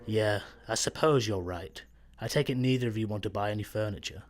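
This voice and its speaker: A man's voice: on the low side, a little fast, close to an everyday voice. An actor playing a hapless, hopeful salesman stowaway in a sci-fi radio comedy, hesitant and earnest. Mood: hopeful, resigned.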